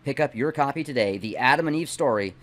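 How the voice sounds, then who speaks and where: A middle-aged man, not a native English speaker, hosting and reading aloud in deliberate, emphatic phrases with casual asides. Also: medium pitch, expressive. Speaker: host reading a book aloud and commenting. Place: small dim indoor home studio.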